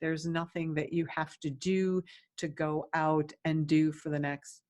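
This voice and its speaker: A woman guides a meditation in a fairly low voice. Her delivery is very slow, with long silences between phrases. She gives her instructions gently and soothingly, as a teacher would. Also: steady tone.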